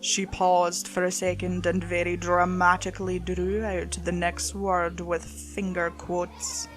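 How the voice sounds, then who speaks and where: A woman's voice: on the low side, monotone, a little slow. A woman reading a scripted horror story as its narrator.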